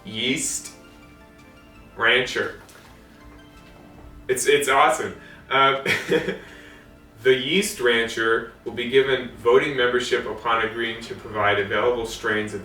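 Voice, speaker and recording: A man giving an informal history talk, reading from old documents in a halting, amused way. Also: medium pitch, fairly even intonation, sharp tone. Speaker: host of a co-op history series. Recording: echoey room, distant mic, faint music.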